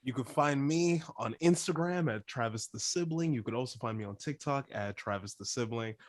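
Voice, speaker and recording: A man's voice: mid-range voice, moderate pace, no room sound. A man talking casually as a podcast co-host. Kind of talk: casual chat.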